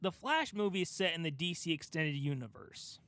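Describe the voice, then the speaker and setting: Higher-pitched man, explanatory podcast host. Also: animated, moderate pace, a bit bright, no echo. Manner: explanatory, emphatic, talks through an argument step by step. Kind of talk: casual pop culture discussion.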